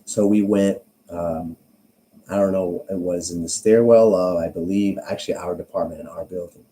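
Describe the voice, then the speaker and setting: A man giving an informal explanatory vlog monologue, speaking haltingly and punctuating his points with emphatic tag questions. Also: low-pitched, steady tone, mellow, a little hiss or hum. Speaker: vlogger.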